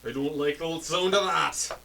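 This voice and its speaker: A man narrating as game master of a tabletop role-playing game, describing scenes in a relaxed, rambling way. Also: a little high, measured pace, vocal fry. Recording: mic a bit far, faint noise.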